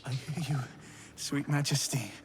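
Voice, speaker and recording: A man's voice: fairly high pitch, steady tone, unhurried, gravelly. A man voicing a fanatical half-spider creature in a fantasy game, reverently devoted to his queen and lapsing from muttering into whispers and sudden cries. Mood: rapturous.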